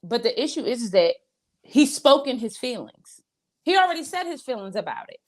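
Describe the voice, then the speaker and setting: A woman's voice: fairly high pitch, very animated, fairly quick. A woman co-hosting a casual review show, talking bluntly and profanely with mock-outraged asides. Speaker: podcast co-host. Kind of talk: casual reality TV review chat.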